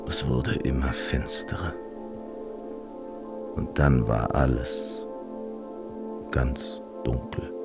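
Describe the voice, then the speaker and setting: An actor playing a brooding man who recounts a dark, surreal dream in a slow, hushed radio play monologue, with a gravelly deep voice. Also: exaggerated voice. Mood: hushed dread, then dark, subdued. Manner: slow, hushed, breathy-intimate storytelling, often near whisper. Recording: loud music under the voice. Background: music.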